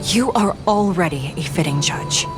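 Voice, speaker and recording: An authoritative senior female judge from a fantasy video game. The voice sits fairly low, with formal, measured, steadily encouraging speech. Music is clearly heard behind it. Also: expressive. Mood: reassuring. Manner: formal, measured, stern yet reassuring.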